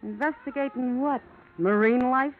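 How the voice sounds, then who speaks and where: A woman's voice: sits high, moderate pace, lightly acted. An actress playing a wary, sardonic, tough-talking young barroom woman in an old-time radio detective drama. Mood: dry, needling. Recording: tinny, faintly noisy old radio recording.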